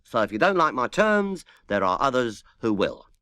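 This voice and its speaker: Brash male agent in a storybook, voiced by a man: bossy, blustering, animated. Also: medium pitch, normal speed, clean tone. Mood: defiant. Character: Harry Fish, a brash pushy male showbiz agent. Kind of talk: storybook reading.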